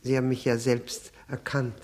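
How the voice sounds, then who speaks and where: An actor playing an obsequious, self-abasing widower in a 1960s German radio drama, halting, apologetic and overly polite. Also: male voice, mid-range voice, level tone, darkish tone, natural voice. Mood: evasive, halting.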